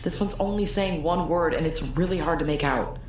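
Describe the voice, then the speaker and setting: A woman's voice: lowish voice, brisk, natural voice. A woman playing a puzzled, conversational teammate in an audio drama, a little hesitant as she explains. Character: puzzled female teammate good with talking machines. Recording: very echoey room, faint noise.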